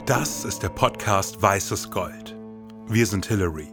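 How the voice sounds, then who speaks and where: Lively low-voiced man, big reverb. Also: slow. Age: 40s. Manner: enthusiastic, colloquial. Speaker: podcast co-host. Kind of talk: scripted, performative podcast narration. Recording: long reverb, music underneath.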